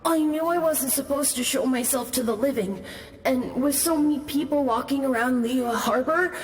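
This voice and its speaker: A woman's voice: high voice, normal speed, faint music behind, natural voice. A woman voicing a shy, timid boy spirit who got lost, in a game, with an echoey reverb on the voice. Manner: timid, hesitant. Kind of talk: game voice acting.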